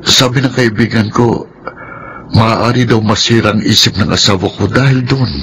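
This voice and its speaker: An actor playing a worried, earnest young husband with a Filipino accent in a radio drama. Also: male voice, fairly low pitch, fairly even intonation, normal speed, fairly bright tone, a little hiss or hum, poor audio.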